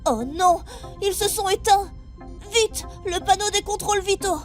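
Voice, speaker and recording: Une femme qui joue un garçon de sept ans sérieux et inquiet, candide et vite excité, stagiaire spatial dans une fiction audio. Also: female voice, extremely high pitch, unhurried, over music. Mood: alarmed. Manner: wide-eyed, earnest, excitable. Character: earnest, anxious seven-year-old boy, space crew intern. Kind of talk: audio drama acting.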